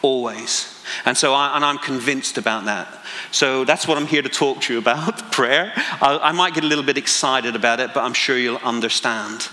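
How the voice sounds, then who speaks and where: Northern Irish pastor preaching in a hall. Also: male voice, medium pitch, normal speed, bright, forceful tone. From Northern Ireland. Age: 40s. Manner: conversational, self-deprecating, building to passionate emphasis. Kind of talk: sermon. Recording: long hall reverb.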